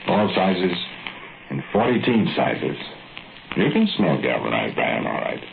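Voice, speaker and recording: An actor playing a chatty, wry working plumber, rambling conversationally in a 1940s radio drama. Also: male voice, a bass voice, big pitch swings, a little slow, gravelly, heavily acted. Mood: folksy, reminiscing. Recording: tinny, faintly noisy old radio recording.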